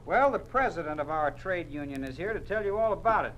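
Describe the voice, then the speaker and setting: A pushy american party zealot, forceful and pompous, barks stuff out, 50s radio drama, noisy old tape. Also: male voice, on the high side, lively intonation, brisk. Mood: commanding. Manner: barking, pompous, contemptuous. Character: officious, bullying male party loyalist clerk. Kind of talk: 1950s radio acting.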